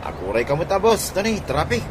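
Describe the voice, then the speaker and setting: A man's voice: sits high, very animated, a little fast, noisy background. A man playing a meek, harried driver placating his boss in a radio comedy drama. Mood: placating, flustered. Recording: music and car horns behind.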